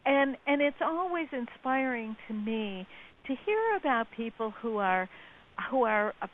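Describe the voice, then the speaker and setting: A woman author speaking as a guest on a talk show, measured, drawing out words for emphasis. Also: fairly high pitch, big pitch swings. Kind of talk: casual radio chat. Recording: tinny phone line with faint hiss.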